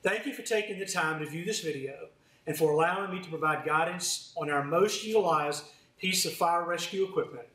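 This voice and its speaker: A man's voice: fairly high pitch, a little fast, sharp tone. Man presenting formally in a roomy, distant recording. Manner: formal, instructional. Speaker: fire department deputy chief, presenter. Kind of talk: scripted training presentation. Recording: roomy, distant mic, faint noise.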